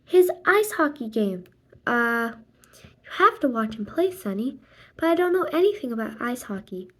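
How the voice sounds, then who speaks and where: A woman reading a children's graphic novel aloud expressively, acting out the dialogue and sound words in a deliberate way. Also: a little high, big pitch swings, a bit bright. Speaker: read-aloud narrator.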